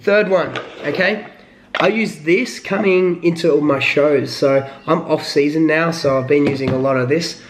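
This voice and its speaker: Hoarse man vlogging in an echoey room. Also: fairly high pitch, normal speed, background noise. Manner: rambling with many fillers. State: hoarse from illness. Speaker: fitness vlogger. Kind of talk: casual talk to camera.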